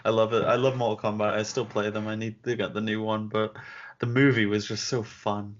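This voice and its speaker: A man's voice: on the low side, flat delivery, fairly quick, muffled recording. A man co-hosting a casual podcast chat, blunt and skeptical, swearing casually. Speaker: podcast co-host.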